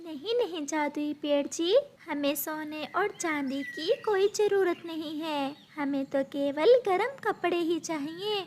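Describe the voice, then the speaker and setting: Casual: lady doing a polite mom bird, high voice, slow and sweet. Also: darkish tone, a little hiss or hum. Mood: earnest. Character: polite humble mother bird.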